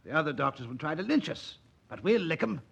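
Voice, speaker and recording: An actor playing a blunt, sardonic, idealistic surgeon in the manner of a 1940s radio drama. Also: male voice, a little high, sing-song, fast, creaky, fairly bright tone, husky, heavily acted. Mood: fervent, impatient. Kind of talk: 1940s radio play acting.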